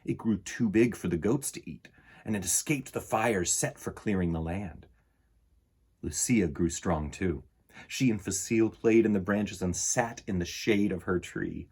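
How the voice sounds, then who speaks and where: A middle-aged man with a husky voice, hosting a children's storytime in an expressive, theatrical way. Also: low voice, measured pace. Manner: theatrical, varied delivery addressed to young listeners. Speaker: children's storytime host and reader. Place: indoor room lined with bookshelves.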